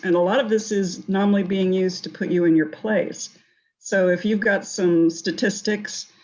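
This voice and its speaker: A woman's voice: lowish voice, highs cut off. A woman giving advice on a discussion panel in an assertive, wry and humorous way. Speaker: round table panellist.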